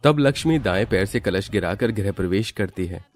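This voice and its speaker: A man's voice: lowish voice, expressive, normal speed, soft background music. A man narrating a scripted Hindi family story as its storyteller.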